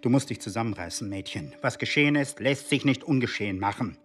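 A man's voice: medium pitch, fast, a little hiss or hum, lightly acted. An actor playing an agitated middle-aged secret agent in a German radio drama, blustering and pleading with his wife. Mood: impatient, soothing. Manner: blustering, pleading, swinging between coaxing and outrage. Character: agitated, self-pitying husband, a shady secret agent.

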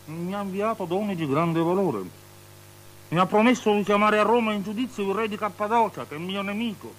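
An actor playing a capricious, lustful, superstitious tetrarch in avant-garde radio theatre, declaiming and lurching between outbursts and breathy murmurs. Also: male voice, sits high, brisk, a bit bright. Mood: agitated boasting. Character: capricious, lustful, superstitious male tetrarch, unstable and self-pitying. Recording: noisy, humming old radio drama recording.

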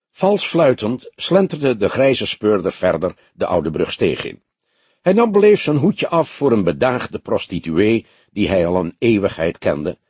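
Husky, expressive male audiobook narrator. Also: mid-range voice, dry recording. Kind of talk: scripted story narration.

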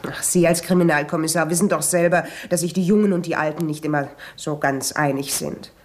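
An actress playing a talkative, defensive young housekeeper questioned by a police inspector in a 1970s radio play. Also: female voice, low voice, fairly even intonation, brisk, darkish tone, lightly acted. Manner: talkative, defensive, easily startled. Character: young housekeeper, chatty and nervous.

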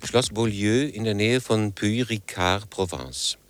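A man playing a quiet radio drama narrator who evokes a place in sparse phrases with pauses. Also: on the low side, close to an everyday voice. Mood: calm, evocative. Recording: clear background noise, outdoor-like ambience.

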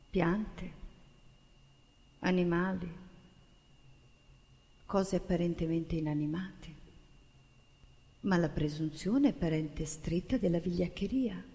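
Slow, declamatory woman in a reverberant hall. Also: medium pitch, mellow. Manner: declamatory, deliberate with dramatic pauses. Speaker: stage actress and singer. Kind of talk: theatrical monologue recitation. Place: theatre stage. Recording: long hall reverb of a stage.

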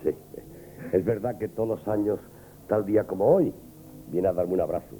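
An actor playing a bossy, blustery grocery owner in a radio comedy, with a Spanish accent. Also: male voice, on the low side, normal speed, warm tone, close to an everyday voice. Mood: affable. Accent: Spain. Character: gruff, impatient grocery shop owner Nemesio. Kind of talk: comic radio theatre acting. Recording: noisy old radio drama tape.

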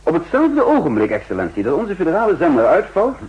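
An actor playing a shrewd, insistent counter-espionage chief in a 1960s radio drama, speaking formally and emphatically with a husky voice. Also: male voice, a little high, huge pitch swings, fast, full character voice. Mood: urgent, confident. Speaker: narrator and main character. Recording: muffled old 1960s radio recording.

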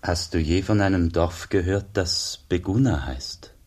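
A humble wandering monk in a radio play; low, breathy, soft-spoken. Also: male voice, measured pace. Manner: humble, quiet.

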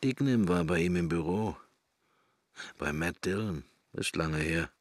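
A man's voice: sits low, measured pace, no room sound, studio quality. An actor playing a pensive middle-aged man in a radio drama, musing to himself wryly and morbidly in short, broken fragments. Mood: reminiscing. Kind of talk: German radio drama, interior monologue.

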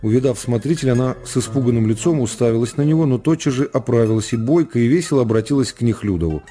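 Mellow male literary narrator, measured delivery. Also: mid-range voice, animated, soft background music. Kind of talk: scripted literary narration for radio.

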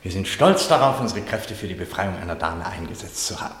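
A man in a radio play acting a gallant, indignant gentleman rescuer who speaks in florid, formal courtesies. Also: low-pitched, expressive, brisk. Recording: echoey, reverberant radio play sound.

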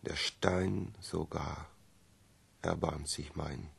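An actor playing a grave, brooding man solemnly reciting verse in a radio play, his voice very husky and gravelly. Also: a bass voice, fairly even intonation, drawn-out words, heavily acted. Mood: anguished.

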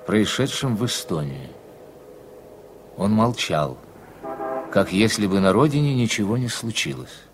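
A man reading scripted dramatic narration as the narrator of a radio play. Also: medium pitch. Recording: faint background noise.

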